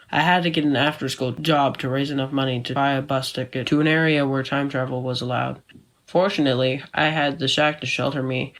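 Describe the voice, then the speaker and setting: A man's voice: medium pitch, fairly bright tone, close to an everyday voice. A man playing an easygoing, adventurous teenage boy, narrating his own story in a matter-of-fact way for an audio drama. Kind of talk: scripted first-person story narration.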